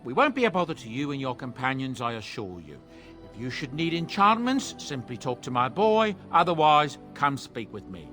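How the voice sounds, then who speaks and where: A man's voice: mid-range voice, big pitch swings, moderate pace, sharp tone, soft background music. An actor playing a jovial, talkative dwarf merchant with folksy salesman patter in a video game. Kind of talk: video game cutscene dialogue.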